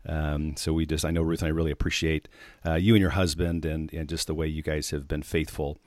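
Deep-voiced earnest male podcast host. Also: level tone, a little mellow, dry recording, studio quality. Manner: earnest, hesitant, full of pauses. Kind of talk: podcast interview.

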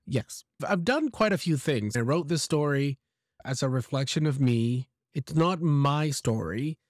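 A man hosting a podcast for English learners, speaking slowly and deliberately. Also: mid-range voice, a little mellow, no room sound, studio quality. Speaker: podcast host.

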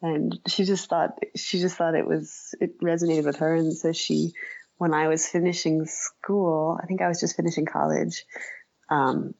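Female writer as interview guest: low register with vocal fry, thoughtful and halting, conversational delivery, muffled recording. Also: fairly even intonation, a little mellow, no echo. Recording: dull, lo-fi, dry audio.

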